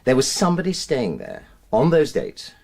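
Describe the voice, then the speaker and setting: Defensive middle-class husband, earnest, slightly rough-edged voice, lively swings in pitch, radio drama. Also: male voice, normal speed, exaggerated voice. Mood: uneasy, explaining. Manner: earnest, emphatic, self-justifying, hesitant under questioning. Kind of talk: naturalistic radio drama acting.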